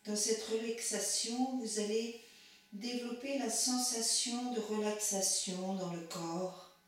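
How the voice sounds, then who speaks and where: A woman leads a guided relaxation in a calm, measured manner. She speaks slowly, in short phrases. The recording is very echoey, with the microphone some distance from her. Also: on the high side. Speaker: yoga nidra instructor.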